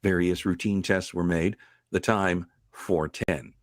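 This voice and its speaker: A man narrating a vintage-style radio drama as a grave storyteller, with dramatic, deliberate emphasis. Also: low-pitched, steady tone, dry recording. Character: grave, suspense-building male storyteller.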